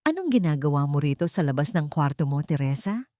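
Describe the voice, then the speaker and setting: A stern, accusing rich father from an audio drama, read by a woman in a low, mellow voice. He is cold and disappointed, and the sound is close and dry. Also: sing-song, brisk, no room sound. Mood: cold suspicion. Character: cold, angry, disappointed wealthy father and employer.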